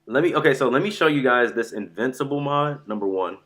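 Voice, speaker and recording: A man streaming live about a fighting game, rambling and excitable, sounding stuffy from a sore throat. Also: mid-range voice, a little fast. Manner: excitable, rambling, says "bro" a lot. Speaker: gaming streamer.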